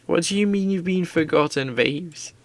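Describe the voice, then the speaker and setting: A man's voice: fairly high pitch, normal speed. A man streaming live, chatting casually and rambling playfully with his viewers while drawing.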